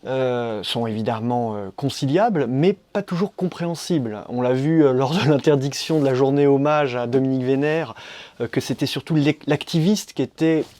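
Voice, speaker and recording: A man interviewing guests, asking long, deliberate, prepared questions. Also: mid-range voice, darkish tone.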